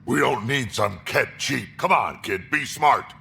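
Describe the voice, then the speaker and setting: An actor playing a gruff, suspicious veteran squadmate in a video game, with a gravelly growl and blunt delivery. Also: male voice, mid-range voice, lively intonation, unhurried, sharp tone, heavily acted. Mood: scornful. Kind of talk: video game character acting.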